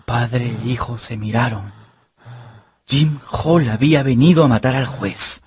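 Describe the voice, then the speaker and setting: An actor narrating an adventure novel as a storyteller in a dramatized radio audiobook. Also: male voice, medium pitch, measured pace, darkish tone, slightly rough. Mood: grave storytelling.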